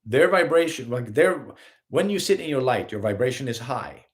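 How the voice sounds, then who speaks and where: A man's voice: mid-range voice, normal speed. A man from Sweden with a Swedish accent, giving an earnest live-streamed spiritual talk as a healer and host. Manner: earnest, animated, acts out little scenes in quotes.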